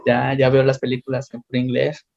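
A man's voice: mid-range voice, fairly quick, vocal fry. Young mexican guy chatting w friends, slangy, laughs a lot, kinda muffled audio. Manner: relaxed, slangy, laughs often. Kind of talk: casual chat among friends.

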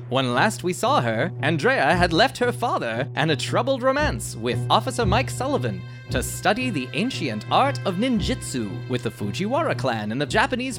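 A woman playing a playful, wry announcer who narrates an adventure radio serial in a showy way. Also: medium pitch.

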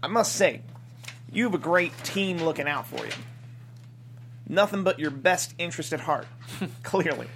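Sardonic rural lawman male actor, dry comedic read, moderately high pitch, faint background hum. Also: lively intonation, moderate pace, pauses often, fairly bright tone. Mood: dry scorn. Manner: dry, sarcastic, unimpressed. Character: sardonic, folksy small-town desert lawman. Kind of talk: comedy script table read.

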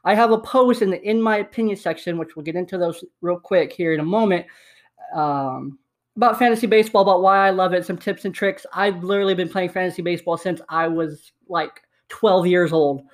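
A man's voice: high voice, brisk. A man in his twenties with a slight lisp hosting a casual solo podcast at home, upbeat and rambling, repeating himself. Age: 20s. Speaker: podcast host. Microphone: USB desktop microphone.

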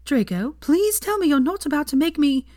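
Woman voicing a snappish, bossy young witch, high-pitched. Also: very animated, moderate pace, a little mellow, dry recording, clean studio sound. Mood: anxious. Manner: snappish, emphatic.

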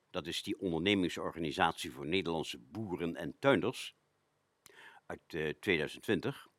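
A man presenting a radio show, narrating from a script with frequent hesitant pauses. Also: low voice, no echo, studio quality.

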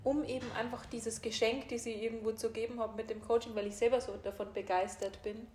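A woman's voice: on the high side, level tone, brisk, a little mellow, slight background noise. A woman coach with a German regional dialect, speaking openly and laughing easily, with many fillers. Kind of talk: casual conversation. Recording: echoey room.

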